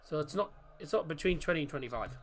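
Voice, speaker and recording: A man's voice: on the high side, lively intonation, quick pace, faint music behind. A man hosting a live football watchalong, rambling and chatting to viewers, swearing freely. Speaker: watchalong host.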